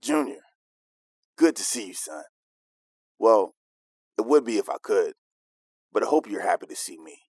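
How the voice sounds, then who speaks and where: A fatherly mentor in an audio drama. His low voice moves expressively as he gives deliberate advice, recorded dry. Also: sing-song, darkish tone, no room sound. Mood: affectionate, instructive. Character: fatherly man advising his son on video.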